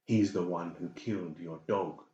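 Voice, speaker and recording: A man voicing a sharp, goading shadow spirit inside someone's head, in a horror audiobook. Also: sits low, unhurried, reverberant, highs cut off. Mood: menacing, goading. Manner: menacing, goading, commanding. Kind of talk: dramatised horror audiobook dialogue.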